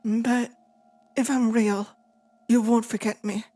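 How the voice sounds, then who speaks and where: A woman's voice: fairly high pitch, flat delivery, soft background music. A woman playing a lonely, clingy demon in an intimate ASMR roleplay, speaking tenderly and hesitantly. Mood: wistful, uneasy. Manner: slow, hesitant, tender, softly pleading. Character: lonely, clingy female sleep paralysis demon, wistful and possessive.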